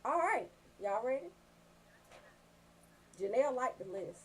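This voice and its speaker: A woman's voice: a little high, expressive, measured pace, a little hiss or hum. A woman doing a casual, comedic rant as a radio host, in a brash, profane, storytelling way.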